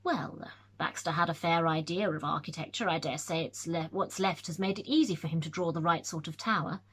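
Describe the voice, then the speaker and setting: Brief: woman playing a gruff, hurried squire who talks over his servant, husky tone. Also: low voice, fairly bright tone. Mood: brusque. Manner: brusque, cuts others off.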